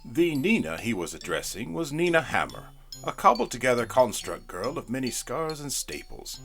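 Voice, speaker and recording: A man reading a spooky storybook narration in a playful, expressive way. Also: medium pitch, moderate pace, gravelly, faint music behind.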